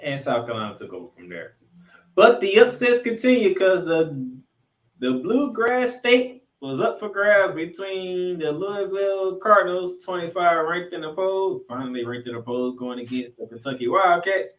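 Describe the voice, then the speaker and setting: Male podcast host, higher-pitched, animated and dramatic sports recap, distant echoey sound. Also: normal speed. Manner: emphatic, showman-like delivery with dramatic announcements. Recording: echoey room, distant from mic.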